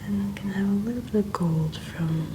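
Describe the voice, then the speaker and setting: A woman giving gentle, slow ASMR instructions as a makeup artist, speaking in a soft, breathy whisper. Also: mid-range voice, background noise.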